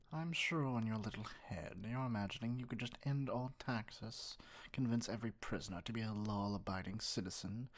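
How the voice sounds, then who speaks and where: A man playing an arrogant, dominant evil sorcerer king in an ASMR roleplay, speaking in a measured, condescending, breathy near-whisper. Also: lowish voice. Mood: mocking.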